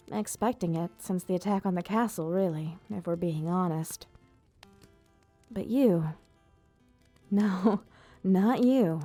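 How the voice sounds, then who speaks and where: A woman playing an elegant vampire ruler, proud yet tender, speaking in formal, measured phrases in an ASMR roleplay. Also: medium pitch, warm tone, lightly acted. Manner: formal, measured, theatrical diction. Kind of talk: ASMR roleplay, scripted monologue to listener.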